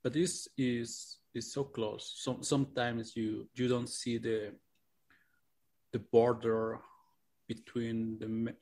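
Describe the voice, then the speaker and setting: A young man from Mexico, Spanish his first language, talks in a casual podcast over a remote line. He gropes for words, restarts and repeats phrases as he goes. His pitch sits in an ordinary middle range. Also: level tone, slow pace, darkish tone. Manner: searching for words, repeats himself. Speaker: co-host.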